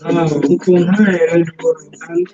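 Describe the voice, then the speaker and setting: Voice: medium pitch, sing-song, slow pace, a loud crowd. An actor with a Nigerian accent playing a timid, hesitant schoolboy son in a radio drama. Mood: nervous hope. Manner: hesitant, stammering. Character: timid schoolboy son afraid of his father. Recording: slightly dull, dry recording.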